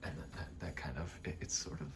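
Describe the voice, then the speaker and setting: A man softly rambling in a casual ASMR style, breathy and often whispering, sweary and self-deprecating. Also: very deep voice, fast. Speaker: video creator rambling to camera. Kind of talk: soft-spoken ASMR ramble. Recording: clear background noise, rattling vent. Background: rattling vent noise.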